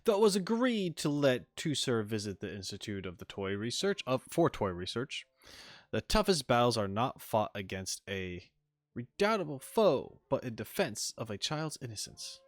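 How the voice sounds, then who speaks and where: A man's voice: medium pitch, big pitch swings, moderate pace, dry recording, faint music behind. A man streaming a video game, reacting casually on a close mic. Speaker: gaming streamer.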